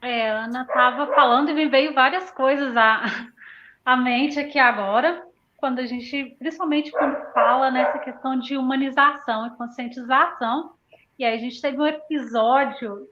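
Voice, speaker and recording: A woman's voice: high-pitched, moderate pace. A Brazilian woman in her thirties speaking reflectively in a conversational panel discussion, often punctuating her points with tag questions. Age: 30s. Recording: dull lo-fi audio.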